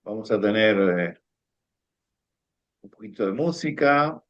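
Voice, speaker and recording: A man's voice: on the low side, expressive, a little slow. Earnest Uruguayan man, dull lo-fi sound. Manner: warm, earnest, many restarts. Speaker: coach and teacher, co-host. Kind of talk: casual conference talk.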